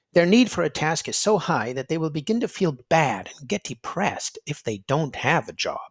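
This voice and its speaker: Male narrator for a kids' read-aloud book: lively, slightly high voice, emphatic and explanatory. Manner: emphatic, explanatory, addressing young listeners.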